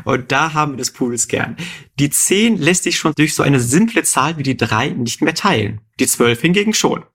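Ein Mann aus dem Rheinland, der als Co-Moderator im Podcast locker etwas erklärt und seinem Gesprächspartner geduldig Rechenfragen stellt. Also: male voice, a little high, expressive, normal speed, a bit bright. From Rhineland, Germany. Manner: patient, quizzes his co-host with questions. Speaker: podcast co-host explaining numbers. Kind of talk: explanatory conversational chat.

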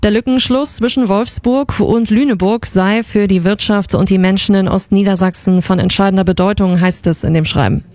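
Woman, newsreader, measured, crisp, dry studio. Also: mid-range voice, fairly even intonation, darkish tone, no room sound. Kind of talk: news reading.

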